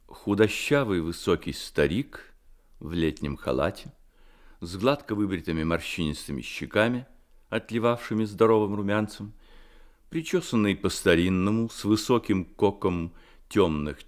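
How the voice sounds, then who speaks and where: A man narrating a literary Soviet radio drama in a measured, expressive storytelling manner. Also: sits low.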